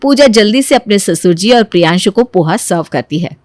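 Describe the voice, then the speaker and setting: A woman reading scripted storybook narration for an animated moral tale. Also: mid-range voice, a little fast, dry recording.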